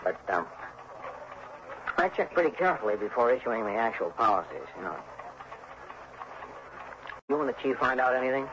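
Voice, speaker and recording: A man's voice: mid-range voice, expressive, moderate pace, long pauses, lightly acted. Folksy radio insurance man played by an actor. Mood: earnest, troubled. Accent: American. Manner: folksy, hesitant interjections. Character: folksy, kind-hearted male small-town insurance agent. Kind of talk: early 1960s radio drama acting. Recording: dull, noisy old radio broadcast.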